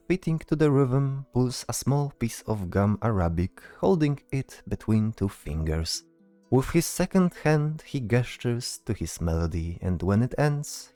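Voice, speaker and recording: Male narrator, fantasy audio drama. Low, soft-toned, dry recording with faint music. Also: no echo. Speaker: narrator, storyteller. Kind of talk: scripted fantasy story narration.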